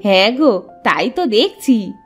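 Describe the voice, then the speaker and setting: A woman playing a spirited, expressive village wife in a cartoon story. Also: a little high, unhurried, breathy edge, faint music behind, full character voice. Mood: caring. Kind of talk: Bengali cartoon story voice acting.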